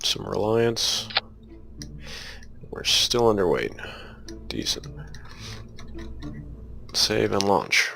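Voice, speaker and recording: A man's voice: lowish voice, big pitch swings, drawn-out words, creaky, long pauses, bright, forceful tone. A man with a cold, sounding sniffly, casually thinking aloud as he talks through a game he is playing. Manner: thinking aloud while building and flying. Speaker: gameplay commentator. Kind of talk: casual gameplay commentary.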